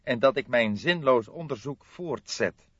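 A man voicing an old male police detective with a cool, dry, measured manner in an audiobook. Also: mid-range voice, expressive, husky, no room sound, dull audio, pitched above the performer's own voice. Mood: icy, defiant.

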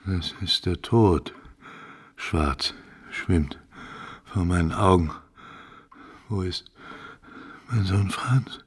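An actor playing a frail, dying old count and heartbroken father in a radio play, his voice weak and hoarse. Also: extremely slow, pauses often, exaggerated voice. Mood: failing, despairing. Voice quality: hoarse, faint, breathy low voice.

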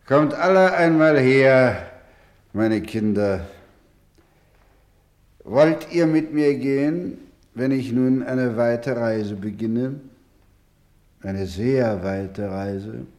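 A weary orphanage director in a German radio play. He speaks very slowly and solemnly, weighing each word with emphasis. His voice is mid-pitched, resolute yet fatherly. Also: a little mellow. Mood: tender, solemn.

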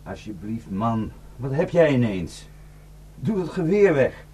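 A man's voice: mid-range voice, big pitch swings, measured pace, dark tone, breathy edge. An actor in a radio drama playing an alarmed man who urgently appeals to others and warns of danger.

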